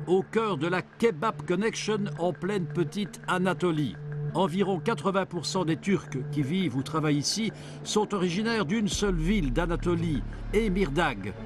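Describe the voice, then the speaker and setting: A man reading scripted investigative narration in a wry, ironic manner. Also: high voice. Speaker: documentary reporter and narrator.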